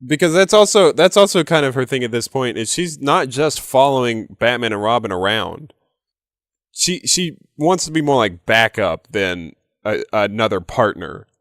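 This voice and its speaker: A man's voice: medium pitch, animated, normal speed, fairly bright tone, dry recording, clean studio sound. A man talking casually as a player in a tabletop role-playing game.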